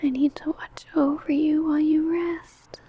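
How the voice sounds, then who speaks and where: A woman's voice: sits high, level tone, a little slow, highs cut off. A woman playing an ancient, possessive, doting female vampire in a whispered, intimate ASMR roleplay, crooning breathily. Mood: protective, possessive.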